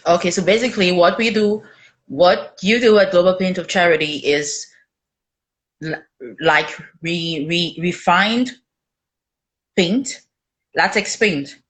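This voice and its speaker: Low-voiced woman hosting a chat. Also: takes it slowly, pauses often, low-quality recording. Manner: chatty, laughs often, stumbles over words. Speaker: host. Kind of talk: casual interview chat.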